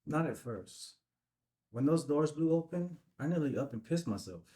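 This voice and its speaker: A man's voice: fairly high pitch, lively intonation, normal speed, mellow, natural voice. A man playing a folksy, plainspoken man earnestly recalling a haunting night in a table read. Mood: earnest, reflective.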